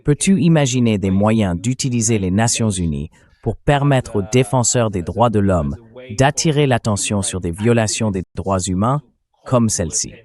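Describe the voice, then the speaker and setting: A man's voice: on the low side, lively intonation, moderate pace, a little mellow. A man moderating a panel in a translated voice-over, speaking in a structured, orderly way. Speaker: debate moderator.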